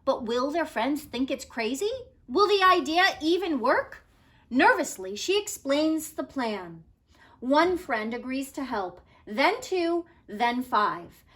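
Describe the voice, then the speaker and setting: A woman's voice: sits high, very animated, slow pace, a bit bright. A woman teacher reading a children's story aloud expressively, with careful, dramatic phrasing and emphasis.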